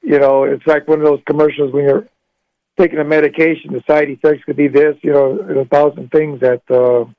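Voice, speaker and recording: A man phones in to a talk show over a dull, lo-fi line. His voice is a little high and the sound is dry. He rambles casually, hesitating and doubling back. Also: flat delivery, brisk, no echo. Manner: rambling, hesitant, repeating himself. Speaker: phone-in caller. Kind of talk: casual chat. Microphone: phone call. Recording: dull lo-fi radio call.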